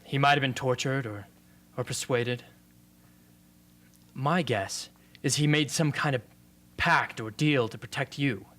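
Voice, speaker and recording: An earnest, defiant young rebel man, played by an amateur actor, speaking as a loyal friend in a radio play. His voice has a medium male pitch. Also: unhurried, fairly bright tone. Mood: grave, intense. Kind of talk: amateur radio drama acting.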